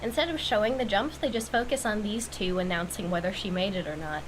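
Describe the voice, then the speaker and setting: A woman's voice: medium pitch, a little fast. A woman giving wry, critical recap commentary on a cartoon, recorded with noise in the background. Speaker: video commentator reviewing a cartoon.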